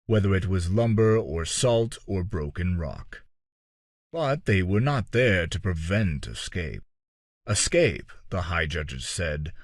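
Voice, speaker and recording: Deep-voiced man narrating a dark tale, dramatic intonation. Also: no room sound, clean studio sound. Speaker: audiobook-style reader.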